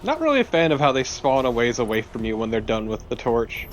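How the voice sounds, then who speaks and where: A man's voice: mid-range voice, sing-song, brisk, highs cut off, a little hiss or hum. A man chatting casually as a co-commentator on a video game, swearing now and then. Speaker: let's play co-commentator. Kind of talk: casual banter over gameplay. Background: game music.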